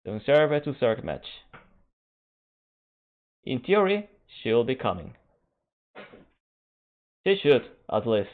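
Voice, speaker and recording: Man, second-language English, esports stream host, casual chat, halting phrasing, thin phone-like audio. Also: mid-range voice, big pitch swings. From Canada. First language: Spanish. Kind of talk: casual stream chat while waiting for a match. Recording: narrow phone-like band.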